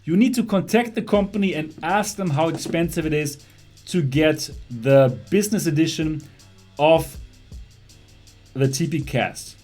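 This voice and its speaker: A young German man with a slightly high voice hosts a casual tech livestream in English, speaking with a German accent. He talks in a loose, chatty way, laughing often and restarting his phrases, while faint music plays underneath. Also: unhurried, a little mellow. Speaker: host of a VR livestream show. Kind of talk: casual livestream tech talk, reading chat.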